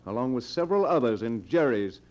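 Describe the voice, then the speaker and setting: An actor playing a wealthy betrayed husband in an old radio drama, speaking in formal, pointed phrases. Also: male voice, medium pitch, lively intonation, a little fast, darkish tone, natural voice. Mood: bitter, sarcastic. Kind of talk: golden age radio drama acting. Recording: muffled old radio show recording.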